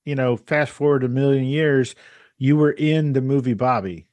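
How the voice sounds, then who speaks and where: A man's voice: medium pitch, moderate pace, darkish tone, no room sound. A man hosting a podcast, prompting his guest with casual questions. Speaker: podcast host. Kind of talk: casual interview chat.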